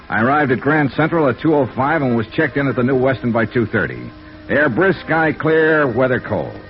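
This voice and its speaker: Male actor as hardboiled investigator, wry, clipped, animated pitch, vintage radio noir, music behind. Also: dull audio, close to an everyday voice. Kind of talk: 1950s radio noir acting and narration.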